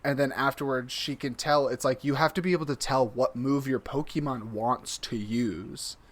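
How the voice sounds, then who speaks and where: A man's voice: medium pitch, steady tone, normal speed. A man co-hosting a podcast, explaining things in a casual, conversational way. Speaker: podcast co-host.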